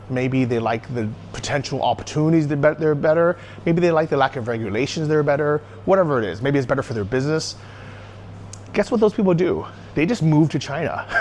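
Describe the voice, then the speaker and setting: American guy ranting in a vlog, emphatic, asks his own questions then answers them, faint noise behind. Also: mid-range voice. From United States. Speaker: YouTuber. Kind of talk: opinionated solo vlog monologue.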